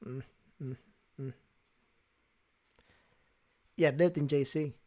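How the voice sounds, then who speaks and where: A man's voice: medium pitch, fairly even intonation, takes it slowly, dark tone. A man hosting a casual live stream, teasing his guests and the chat with frequent laughs. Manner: talks to chat and guests while they draw.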